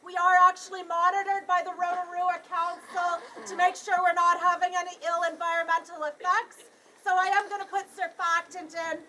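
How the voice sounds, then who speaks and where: A young woman with a Canadian accent leads an outdoor tour, raising her voice to carry across a crowd. Her pitch sits very high, and light background noise runs under her words. She keeps a friendly, joking tone while explaining. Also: flat delivery, normal speed, low-quality recording. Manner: loud projected voice without a speaker, joking. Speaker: tour guide. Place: outdoors beside a geyser.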